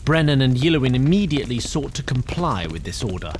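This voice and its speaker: A man's voice: medium pitch, sing-song, fairly quick, a little hiss or hum. A man narrating a fantasy audio drama in a wry storybook manner. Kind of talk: scripted narration.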